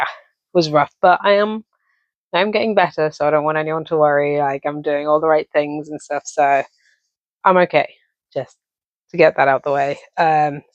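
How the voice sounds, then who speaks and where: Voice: mid-range voice, no echo. A young adult with an English accent chatting casually to camera as a crochet vlogger, rambling with many ums and self-corrections. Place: home office. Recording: dull, dry recording.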